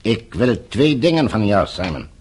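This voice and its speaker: Husky, coolly menacing captor. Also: male voice, on the low side, sing-song, a little mellow, heavily acted. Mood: cold, demanding. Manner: measured, formal, condescending. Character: coolly menacing male captor, regime agent, falsely courteous. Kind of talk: Dutch radio drama acting.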